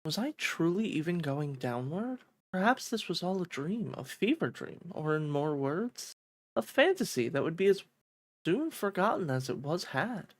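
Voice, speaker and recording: A streamer voicing a pensive young heroine narrating a fantasy visual novel in a measured, wondering way. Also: mid-range voice, sing-song. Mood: dreamy, wondering.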